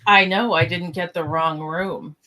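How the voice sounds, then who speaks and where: A woman playing a calm, gently flirtatious male first officer in an amateur sci-fi radio play. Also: lowish voice, a little slow. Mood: gentle, curious.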